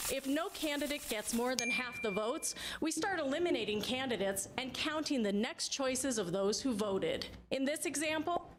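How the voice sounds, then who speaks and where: A woman's voice: high-pitched, noisy background. A woman reading a scripted instructional narration as an explainer video narrator. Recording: music behind the voice. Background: background music.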